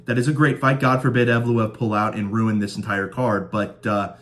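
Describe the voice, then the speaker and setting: A man's voice: fairly low pitch, level tone, moderate pace, fairly bright tone. A young man hosting a casual fight prediction show, joking and rambling, slightly stuffed up from a cold. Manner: laid-back, joking, rambling with fillers. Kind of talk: casual sports prediction chat.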